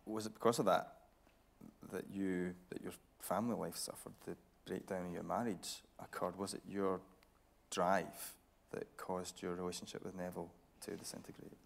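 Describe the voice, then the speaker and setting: A man interviewing someone on stage, putting probing questions in a measured way and building them up in rephrased steps. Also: deep voice, level tone, creaky. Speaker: interviewer, presenter, reporter. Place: theatre stage at a broadcast building.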